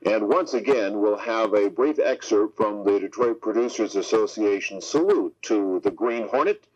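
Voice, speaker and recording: A man reading scripted announcements as a radio announcer. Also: mid-range voice. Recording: slightly dull radio broadcast tape.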